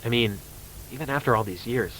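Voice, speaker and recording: A man's voice: mid-range voice, fairly bright tone, natural voice. Man acting a lonely lovestruck young man, noisy recording. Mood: shy, smitten. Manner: earnest, halting, self-pitying. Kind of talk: dramatic monologue in an amateur horror production. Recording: clear background noise and ambience.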